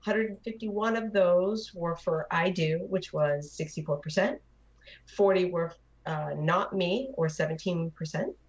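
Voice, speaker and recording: A woman talking casually as a co-host of a gaming panel show, heard through dull, lo-fi voice-chat audio. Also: on the low side. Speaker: podcast co-host.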